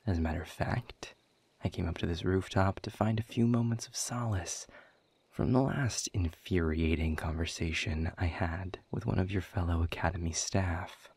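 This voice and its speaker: A man playing a sardonic, world-weary demon director in a scripted audio roleplay, speaking in dry, sarcastic, wordy drawls with a husky, breathy low voice. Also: steady tone, normal speed, darkish tone. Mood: weary, disdainful. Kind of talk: scripted audio roleplay monologue addressed to the listener.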